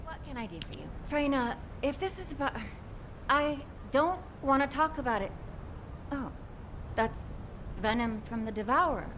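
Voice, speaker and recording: A woman acting as a caring, protective scientist in a video game, earnest and emotionally expressive. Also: high-pitched, normal speed, background noise. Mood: guarded, shocked.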